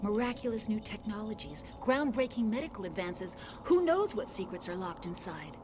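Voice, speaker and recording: A composed female scientist voiced by an actress speaks earnestly in measured, articulate phrases at an ordinary pitch. It is video game dialogue, with music clearly playing underneath. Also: lively intonation, lightly acted. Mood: awed. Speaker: scientist in charge of an excavation.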